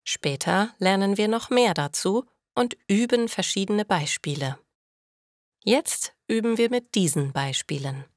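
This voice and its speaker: A woman narrating a beginner language lesson, speaking slowly and clearly for learners. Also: mid-range voice, lively intonation, dry recording, studio quality. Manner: slow and clearly articulated for learners. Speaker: language teacher. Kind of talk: slow scripted lesson narration.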